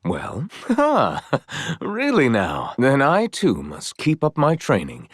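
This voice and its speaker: An actor voicing a courteous, earnest and gently proud young knight in a video game, with formal, eloquent phrasing. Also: male voice, medium pitch, huge pitch swings, slow pace, dry recording, studio quality, full character voice. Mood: warm, teasing. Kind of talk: video game character voice lines.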